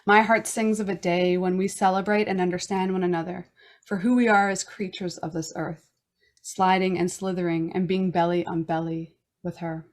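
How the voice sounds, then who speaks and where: A woman from Newfoundland hosting a wellness podcast, reading a reflective personal statement in a slow, earnest way. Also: fairly low pitch. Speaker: podcast host. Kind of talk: reading a personal land acknowledgment and intro.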